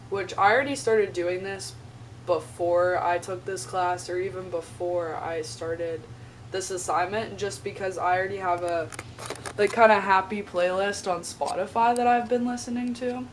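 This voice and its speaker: A young woman, a college student, talking casually in a vlog, a bit raspy from a sore throat and filling her speech with "like" and "um". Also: mid-range voice, fairly even intonation, moderate pace. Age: young adult. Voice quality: chatty, lots of "like" and "um". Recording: slightly distant mic with faint noise.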